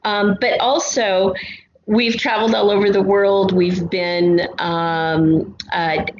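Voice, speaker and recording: A woman's voice: medium pitch, flat delivery, slow. A middle-aged American woman chatting as an interview guest, explaining things in an expansive, storytelling way. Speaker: guest, audio drama writer. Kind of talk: casual interview chat. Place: untreated city apartment room. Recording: roomy, dull sound with faint noise.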